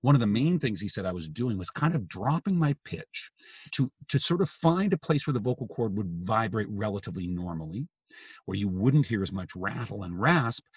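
A man with a gravelly, husky voice talks through a story in a relaxed, chatty way, often stopping and restarting his sentences. The recording is dry and close, with no room around him. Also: lowish voice, moderate pace, no room sound. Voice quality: gravelly, raspy voice. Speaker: journalist and author as guest. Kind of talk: casual podcast conversation.

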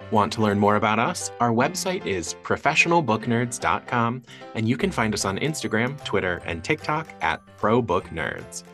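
A man's voice: lowish voice, very animated, normal speed, sharp tone. A man hosting a podcast, reading a scripted promo. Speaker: podcast host. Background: guitar music.

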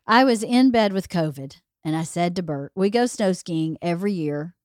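A woman hosting a podcast in casual chat, her voice a little hoarse as she loses it, talking in a rambling, chatty way. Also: on the low side, lively intonation, normal speed, a little mellow, dry recording, studio quality. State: slightly hoarse, losing her voice. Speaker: podcast host.

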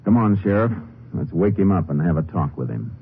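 An actor playing a calm, observant Texas Ranger who questions in a clipped, matter-of-fact way in a 1950s radio drama. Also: male voice, low voice, fairly quick, vocal fry, faint music behind. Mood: deducing. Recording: muffled, noisy old radio recording.

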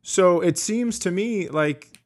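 A man's voice: high-pitched, slow, a little mellow. A man co-hosting a comedy podcast in casual banter, with an incredulous, joking manner.

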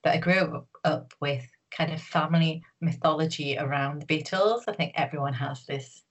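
A woman's voice: sits low, moderate pace. A woman from Newcastle, an author guest talking casually in an interview, rambling with many fillers and restarts. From Newcastle, England.

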